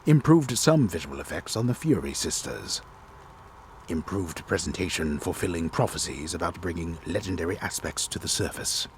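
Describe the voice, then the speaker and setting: Man performing a very deep, theatrical storyteller. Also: lively intonation, slight background noise. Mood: grave, theatrical. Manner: solemn, theatrical, deliberate announcing. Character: disembodied male storyteller, grave and theatrical. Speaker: game storyteller and narrator. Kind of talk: dramatic reading of game patch notes.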